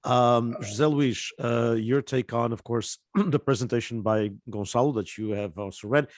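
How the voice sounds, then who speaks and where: A middle-aged man from Portugal moderating a panel discussion off the cuff, speaking in a halting, wordy, thoughtful way. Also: mid-range voice, no room sound, clean studio sound.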